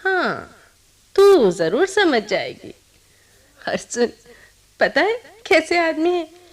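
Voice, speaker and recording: A woman's voice: high voice, very animated, takes it slowly, full character voice. A woman playing an affectionate young newlywed bride in a radio play, with a teasing, giggly, coaxing way of talking. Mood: fond, chatty. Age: young adult. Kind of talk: Hindi radio play acting.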